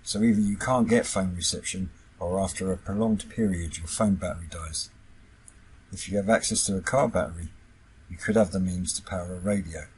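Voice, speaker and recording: A man with a husky voice, hosting and narrating a scripted explainer video. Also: low-pitched, brisk, a little mellow, low-quality recording. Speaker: YouTube host, CB radio hobbyist.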